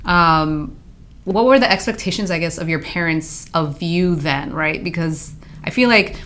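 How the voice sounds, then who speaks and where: A woman's voice: fairly low pitch, measured pace, a bit bright. A woman hosting a podcast, asking curious, rambling questions in a casual way. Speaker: podcast host. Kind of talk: casual interview conversation.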